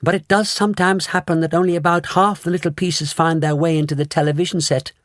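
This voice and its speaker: A man voicing an eccentric, excitable chocolate maker with brisk, theatrical exclamations. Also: a little high, no echo, studio quality, voice pushed up, natural voice. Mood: excited.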